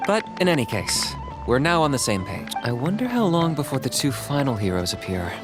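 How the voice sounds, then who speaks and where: An actor voicing a grave, enigmatic veteran hero in a fantasy game, solemn and deliberate. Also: male voice, mid-range voice, huge pitch swings, music in the background. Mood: solemn, reflective.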